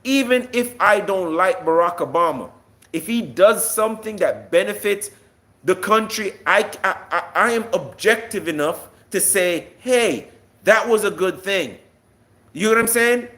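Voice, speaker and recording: Guy on a livestream ranting politics, high forceful voice, argues hard, keeps throwing questions at viewers. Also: lively intonation, moderate pace. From Jamaica. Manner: combative, emphatic, rhetorical questions. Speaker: live-stream political commentator. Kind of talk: impassioned monologue to online viewers.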